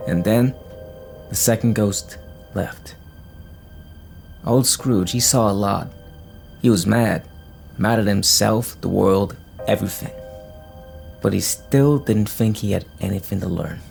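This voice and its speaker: A man as a deliberate, suspenseful storyteller. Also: on the low side, vocal fry, a little mellow, soft background music, full character voice. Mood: wry, emphatic. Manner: deliberate, suspenseful, short phrases. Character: folksy, dramatic male teller of a Christmas ghost tale. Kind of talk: dramatic storybook narration.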